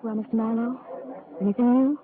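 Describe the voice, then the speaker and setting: A woman's voice: a little high, steady tone, brisk, close to an everyday voice. An actress playing a fragile, refined married woman, earnest and wistful, in 1940s radio drama style. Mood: tender, sad. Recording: muffled old radio broadcast, faint noise.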